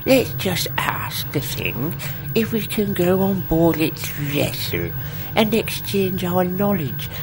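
Woman playing a low, measured starship doctor. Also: lively intonation, over music, poor audio. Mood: earnest. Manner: measured, reasoning. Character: earnest, curious female ship's doctor, rational. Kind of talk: comedy sci-fi radio play acting.

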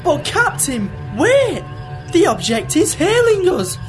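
Performer doing a high-pitched sardonic starship crew member. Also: wildly swooping pitch, slow, heavily acted. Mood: brisk, urgent. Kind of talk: comedy radio play acting. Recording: loud music behind the voice.

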